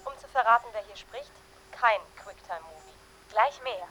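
A cryptic, unknown woman on space radio. Her mid-pitched voice gives clipped messages in a radio drama, with faint noise around it. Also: lively intonation, moderate pace, bright, forceful tone. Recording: distant radio transmission with noise.

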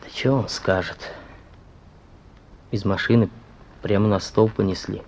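Husky, grim policeman. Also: fairly low pitch, fairly even intonation, a little slow, a little mellow. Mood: grim, bitter. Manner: colloquial, matter-of-fact, grim. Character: plain-spoken policeman, bitterly reporting to his superior. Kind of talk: Russian radio play acting.

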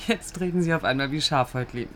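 Challenging, sharp-tongued young woman. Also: deep voice, a little hiss or hum. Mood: probing. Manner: challenging, probing, teasing. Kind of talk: radio drama dialogue.

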